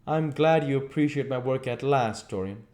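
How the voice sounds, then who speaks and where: A man's voice: a little high, expressive, moderate pace, dark tone, pitched above the performer's own voice, lightly acted. A man doing an earnest, wounded painter.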